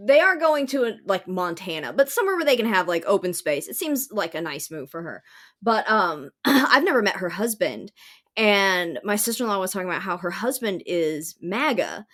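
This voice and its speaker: American female podcast co-host, medium pitch, loose conversational delivery. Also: big pitch swings, normal speed, a bit bright. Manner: informal, sweary, conversational. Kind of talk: casual chat.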